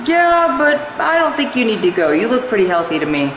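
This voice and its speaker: A man's voice: extremely high pitch, sing-song, a little fast, noisy background. Woman or man acting as lazy grumbly dad, dismissive, voice swings around a lot, roomy echo. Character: lazy, neglectful husband and father, dismissive and grumbling. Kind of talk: acted comedy skit dialogue.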